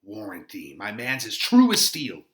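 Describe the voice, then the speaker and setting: A man's voice: on the low side, wildly swooping pitch, normal speed, a bit bright. A man playing an earnest, lovestruck young man, declaiming theatrically in a dramatic Shakespeare reading. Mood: assured.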